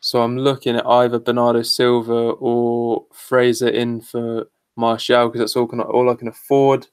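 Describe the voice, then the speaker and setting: A man's voice: mid-range voice, monotone, moderate pace, clean tone, no room sound. A man co-hosting a fantasy football podcast in casual chat, speaking bluntly and swearing freely.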